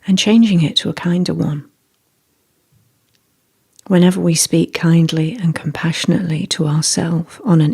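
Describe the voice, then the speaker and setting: Husky, breathy meditation guide, softly creaky. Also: medium pitch. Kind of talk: scripted guided meditation narration.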